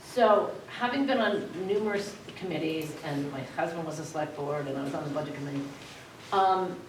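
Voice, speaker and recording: A woman's voice: low-pitched, brisk, a little hiss or hum. A woman at a town meeting bluntly questioning the candidates. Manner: blunt, self-assured. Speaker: audience member asking questions. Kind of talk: public question. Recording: echoey hall, distant mic.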